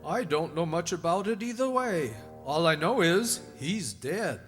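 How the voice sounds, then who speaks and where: A man acting a flippant, unfeeling gentleman in a radio drama, gossiping in an offhand manner. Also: on the high side, sing-song, measured pace. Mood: offhand, amused. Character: callous, flippant gentleman gossiping about a death. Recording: echoey hall, faint music underneath.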